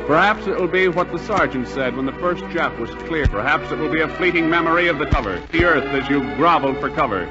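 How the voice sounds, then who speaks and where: A man's voice: a little high, expressive, fast, few pauses. An American man narrating a scripted 1940s radio drama as announcer, solemn and declamatory. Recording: muffled 1940s radio, loud music behind.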